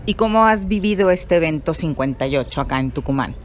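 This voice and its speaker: Low-voiced woman asking short questions. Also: expressive, a little fast, a little hiss or hum. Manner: brief, soft affirmations. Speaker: interviewer.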